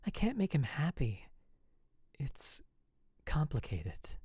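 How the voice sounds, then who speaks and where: A man voicing a sad, gentle young married woman who speaks softly and hesitantly. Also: on the high side, huge pitch swings, slow, slightly rough, dry recording, pitched above the performer's own voice, heavily acted. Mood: wistful. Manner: soft and hesitant.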